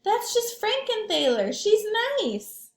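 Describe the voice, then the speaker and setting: A friendly storybook monster with a high, lively, emphatic voice, put on by a woman reading aloud. It is playful and reassuring, heard in a roomy space. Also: takes it slowly, exaggerated voice.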